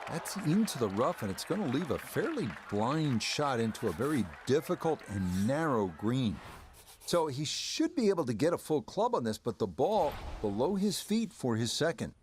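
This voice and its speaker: A man playing a polished TV golf play-by-play announcer, giving measured, descriptive sports commentary in a video game. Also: mid-range voice, very animated, a little mellow, a little hiss or hum, lightly acted. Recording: background music under the voice.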